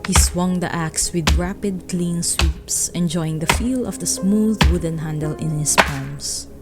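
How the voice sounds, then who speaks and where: A woman's voice: on the low side, a little slow, loud music behind the voice. A woman narrating a radio drama, reading a scripted story.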